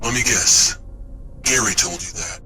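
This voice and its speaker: An actor playing a skeptical, blunt crew chief in a dramatic sci-fi game cutscene. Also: male voice, sits low, measured pace, bright, forceful tone, a little hiss or hum, heavily acted. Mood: suspicious.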